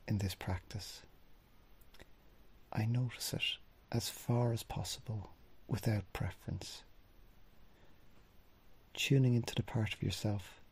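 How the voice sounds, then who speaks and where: Husky, low-voiced man guiding meditation. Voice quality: husky, breathy, often trailing into near-whisper. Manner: unhurried phrases with long pauses, gently instructing. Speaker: mindfulness meditation teacher. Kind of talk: guided body scan meditation.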